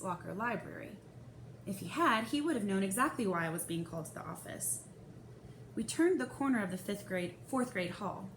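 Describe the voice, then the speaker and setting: A woman reading a children's novel aloud as a first-person storyteller, dramatizing the young narrator's feelings expressively. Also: mid-range voice. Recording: echoey room with faint hiss.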